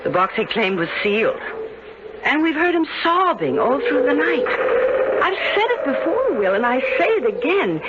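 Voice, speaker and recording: A woman's voice: sits high, big pitch swings, normal speed. An actress playing an anxious, suspicious, insistent married woman in a 1970s radio drama, speaking with dramatic emphasis. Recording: dull, very noisy old radio recording.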